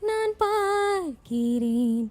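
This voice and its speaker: High, slow-phrasing woman singer. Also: sing-song, darkish tone, soft background music. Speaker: singing contestant. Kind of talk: singing melodic film songs.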